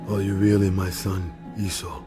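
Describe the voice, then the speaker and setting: An actor playing a frail, solemn old blind father with a deliberate delivery in a Bible audio drama. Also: deep voice, little pitch movement, close to an everyday voice. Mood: wary, questioning. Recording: dramatic music behind the voice.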